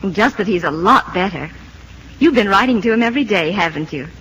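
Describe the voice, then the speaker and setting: A gentle, caring aunt played by a woman in an old radio play. Her voice is medium in pitch, with faint noise behind it. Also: expressive, fairly quick, close to an everyday voice, poor audio. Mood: tender. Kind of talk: 1930s-40s radio acting.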